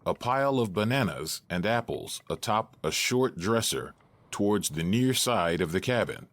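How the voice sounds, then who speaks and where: A man's voice: fairly low pitch, lively intonation, moderate pace, no room sound. A man narrating an audio drama, reading out scripted stage directions.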